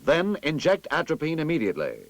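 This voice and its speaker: An American man reads scripted narration for a vintage army training film in an authoritative, instructive manner with clear enunciation. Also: on the high side, wildly swooping pitch, fast talker, no echo.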